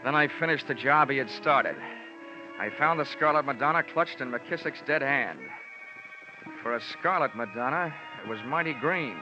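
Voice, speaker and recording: Hard-boiled investigator narrator, a man acting, mid-pitched, wry and tough, muffled radio sound over prominent music. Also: close to an everyday voice. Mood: grim narration. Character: hard-boiled, wisecracking male insurance investigator. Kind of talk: noir first-person narration, old-time radio acting. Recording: muffled old radio drama recording.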